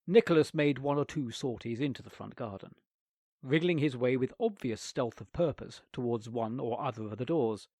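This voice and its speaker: Expressive man reading a story aloud. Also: medium pitch, no room sound, studio quality. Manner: wry, expressive.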